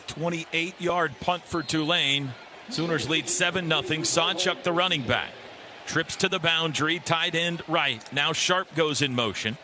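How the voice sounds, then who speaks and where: A man's voice: a little high, a little slow, a crowd in the background. A man calling live radio football play-by-play from a stadium broadcast booth. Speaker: play-by-play announcer.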